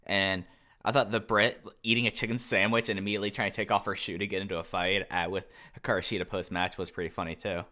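A man's voice: sits low, level tone, fast talker, pushed and bright. A man chatting casually as a panelist, rambling and peppering his sentences with filler words. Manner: rambling, says "like" constantly. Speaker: panelist on a wrestling review show. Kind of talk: casual chat.

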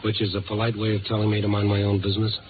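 An actor playing a tough, sardonic newspaper reporter, speaking tersely and wryly in a 1950s radio drama. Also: male voice, on the low side, monotone, racing, a little hiss or hum, lightly acted. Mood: wry, pressing. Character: tough, sardonic newspaper reporter narrating his night investigations. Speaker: lead actor and narrator. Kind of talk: 1950s radio drama acting, noir narration. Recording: tinny 1950s radio recording, music behind.

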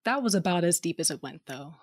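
A woman's voice: lowish voice, animated, fast talker, dry recording. A woman narrating and acting a space adventure audio drama in the first person, with a dry, wry and determined manner. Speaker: narrator and lead character.

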